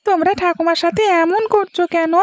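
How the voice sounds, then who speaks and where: A woman voicing an earnest, affectionate little boy in a cartoon story, with a squeaky, creaky voice. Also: fairly even intonation, a little fast, no echo. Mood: eager, earnest. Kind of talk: cartoon child-voice acting.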